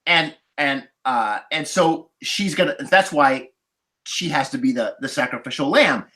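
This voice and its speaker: A man with a bright, forceful voice and very lively pitch, chatting on a movie podcast. He argues his points with emphasis in a casual way. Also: unhurried. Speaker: podcast panelist. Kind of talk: casual film discussion.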